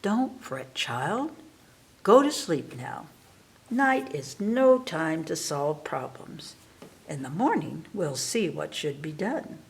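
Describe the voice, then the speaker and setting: A woman reading aloud, voicing a small magic doll that soothes a girl in a soft, hushed way. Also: low voice, big pitch swings, slow, creaky, heavily acted. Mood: soothing.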